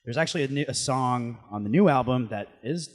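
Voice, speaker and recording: A man chatting casually as an interviewee, loose and halting as he thinks aloud. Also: medium pitch. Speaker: band guitarist and singer, interviewee.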